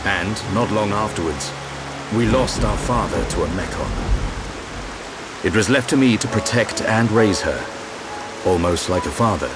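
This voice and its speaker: A man acting a calm, protective older-brother warrior in a video game, speaking in an earnest, measured, reflective way. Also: fairly low pitch. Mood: wistful, tender. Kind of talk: dramatic video game dialogue. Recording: loud music, rain behind voice.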